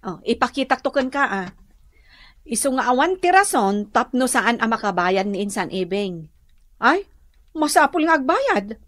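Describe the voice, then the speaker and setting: High-voiced, nosy Ilocano relative. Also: female voice, big pitch swings, moderate pace, a bit bright, dry recording, clean studio sound. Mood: indignant, demanding. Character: nosy, insistent female relative gossiping and demanding repayment. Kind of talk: Ilocano radio drama acting.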